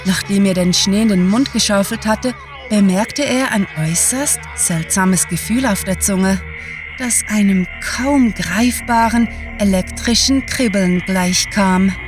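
A woman's voice: mid-range voice, unhurried. A woman narrator reading a scripted story as a storyteller. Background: music.

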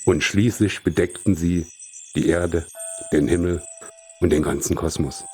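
A man's voice: sits low, unhurried, warm tone. A man reading a literary short story aloud as scripted narration, in German. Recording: music behind. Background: music.

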